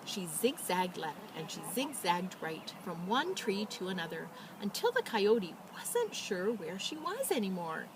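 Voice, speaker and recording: A woman reading aloud as a children's storyteller, with expressive, dramatic emphasis and casual delivery. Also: mid-range voice, noisy background. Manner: animated, dramatic emphasis and drawn-out words.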